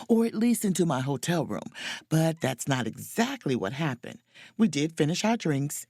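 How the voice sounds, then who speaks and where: An actress playing a wry, self-mocking adventurous officer, narrating and speaking in a first-person audio drama in a confiding, playful way. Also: female voice, fairly low pitch, darkish tone, breathy edge, dry recording, clean studio sound, full character voice.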